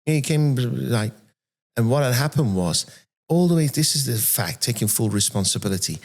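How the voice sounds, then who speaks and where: A soft-spoken man in his fifties from Iran who moved to England as a teenager, telling personal stories as an interview guest. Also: mid-range voice, animated, normal speed. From born and raised in Iran, moved to England at 13. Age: 50s. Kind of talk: animated personal storytelling in an interview.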